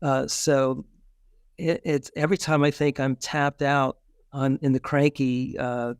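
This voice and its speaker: Middle aged guy, interview guest, smooth clear voice, dry sound, stumbles and repeats words. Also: medium pitch, flat delivery, a little slow, no room sound. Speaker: guest children's book author. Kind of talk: casual interview chat.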